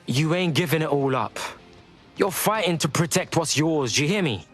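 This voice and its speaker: An actor with a London accent playing a slangy, emphatic young resistance fighter in a video game. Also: male voice, a little high, animated, moderate pace, fairly bright tone, soft background music. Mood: firm, encouraging. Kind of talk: video game cutscene acting.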